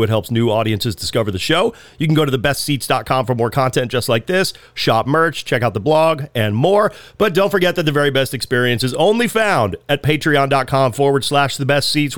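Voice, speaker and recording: Raspy podcast host with a head cold. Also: male voice, mid-range voice, dry recording. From Southern California. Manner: chatty, loose, frequent ums. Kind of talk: casual podcast intro and ad reads.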